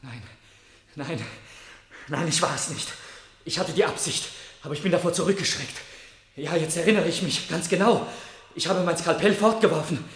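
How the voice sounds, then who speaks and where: An actor playing an anguished murder suspect in a radio play, pleading in an earnest, halting, brooding manner. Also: male voice, a little high, fairly bright tone, lightly acted. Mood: desperate, insistent. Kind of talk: German radio play acting. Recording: roomy, echoing studio radio play.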